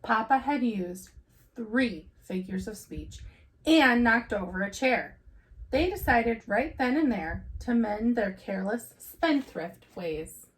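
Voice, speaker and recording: A woman's voice: fairly high pitch, lively intonation, takes it slowly, slight background noise, poor audio. A woman reading a children's storybook aloud as a teacher would, in narration.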